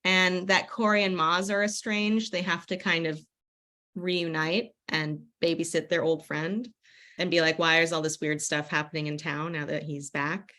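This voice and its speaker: Low-pitched, bright-voiced American woman. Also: little pitch movement, normal speed. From United States. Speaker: author. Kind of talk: casual author panel talk.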